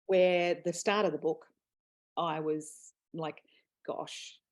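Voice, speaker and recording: A woman's voice: deep voice, steady tone, slow pace. A woman hosting a casual, reflective conversation, talking earnestly and laughing easily. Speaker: host and author. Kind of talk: casual chat.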